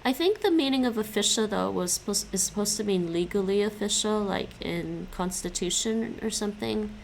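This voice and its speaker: A woman's voice: medium pitch, moderate pace, gravelly, slight background noise, low-quality recording. A young woman chatting casually with stream viewers while she studies languages. She stops and restarts often and laughs a lot. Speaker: live streamer studying languages.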